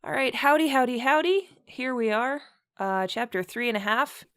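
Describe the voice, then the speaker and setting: A woman's voice: on the high side, lively intonation, moderate pace, loud music behind the voice. A woman hosting an amused comic reading aloud, giggly and mock-dramatic, adding her own commentary. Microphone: online voice call. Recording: dry voice chat audio.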